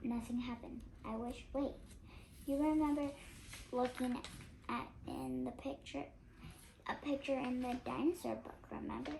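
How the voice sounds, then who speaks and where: An eight-year-old child reading a storybook aloud, halting at times and stumbling over words. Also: high-pitched, fairly even intonation, creaky, a little mellow, a little hiss or hum, rough recording. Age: child, 8 years old. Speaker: young reader on a read-aloud channel.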